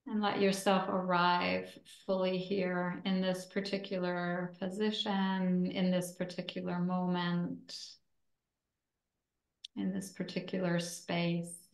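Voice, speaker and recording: A woman instructor leading a guided yoga relaxation in a soothing, gentle, deliberate way with long pauses between phrases. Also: lowish voice, completely flat delivery, echoey room, poor audio.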